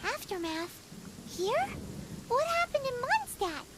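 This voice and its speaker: A woman voicing a tiny, excitable, cheeky fairy companion with a chirpy childlike delivery in a video game. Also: very high voice, takes it slowly, heavy background noise, full character voice. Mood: curious. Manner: chirpy, speaks of self in third person.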